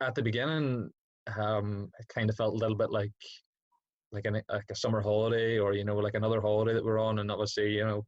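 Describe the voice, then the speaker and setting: A man's voice: on the low side, dry recording, muffled recording. A young man with a Northern Irish accent giving casual, reflective interview answers as a teacher, feeling his way hesitantly through each thought. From Derry, Northern Ireland. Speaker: secondary school PE teacher, interview guest.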